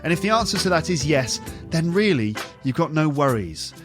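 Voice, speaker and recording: A man's voice: on the high side, big pitch swings, moderate pace, music in the background. A man, teaching in a podcast excerpt, reassuring and emphatic. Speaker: English teacher.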